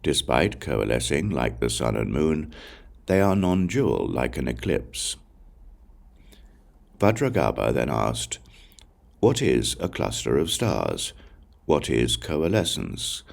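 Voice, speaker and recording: A man reading scripture as an audiobook narrator, in a measured, solemn recitation with deliberate pauses. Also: very deep voice, big pitch swings, gravelly. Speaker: audiobook reader.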